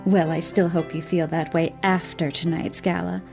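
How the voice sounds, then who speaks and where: Tender sweetheart: casual audio-drama delivery, lowish female voice, music underneath. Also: flat delivery, moderate pace, vocal fry, darkish tone. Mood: affectionate. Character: affectionate, gentle woman, the hero's romantic partner.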